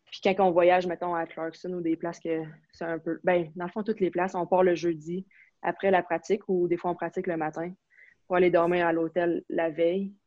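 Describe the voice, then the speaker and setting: A young woman from Quebec with a Quebec French accent, chatting casually as an interview guest, relaxed and full of fillers and restarts. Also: fairly low pitch, brisk, no echo. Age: young adult. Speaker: interview guest, university hockey player. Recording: slightly dull, lo-fi sound.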